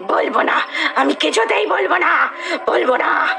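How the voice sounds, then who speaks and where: A woman's voice: high voice, animated, unhurried, gravelly, crowd noise, exaggerated voice. A woman playing a possessed woman, the vengeful spirit of a burned mother, muttering and wailing as she repeats her words in a horror audio story. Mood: stubborn, defiant.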